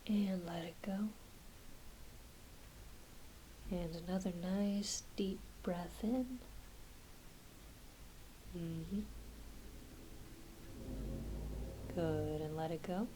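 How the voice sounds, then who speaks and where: Woman as a slow, whispering, gentle doctor. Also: on the low side, slight background noise, poor audio. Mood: soothing, attentive. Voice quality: soft, mostly whispered. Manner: unhurried, murmured reassurances. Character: gentle, reassuring werewolf doctor giving an exam. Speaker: ASMR performer. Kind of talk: whispered ASMR medical roleplay.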